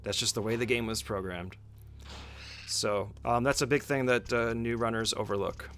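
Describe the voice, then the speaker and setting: A man's voice: mid-range voice, fairly even intonation, moderate pace, fairly bright tone, slight background noise. A man giving a casual, step-by-step speedrun tutorial as commentary, speaking informally and giving short instructions.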